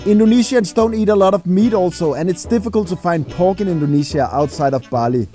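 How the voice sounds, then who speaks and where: A man narrates a scripted voice-over in a high, mellow voice. He moves through each point in a measured, list-like way and leans on key words by drawing them out. Faint music plays beneath him. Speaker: video narrator.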